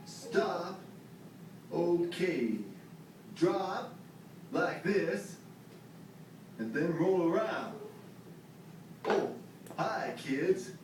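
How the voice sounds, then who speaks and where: Man playing an upbeat, echoey, high-voiced puppet. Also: animated, extremely slow, pauses often, background noise, full character voice. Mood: earnest, friendly. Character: upbeat male puppet Stan, friendly fire-safety teacher. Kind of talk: children's puppet show. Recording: echoey room, distant playback with loud music.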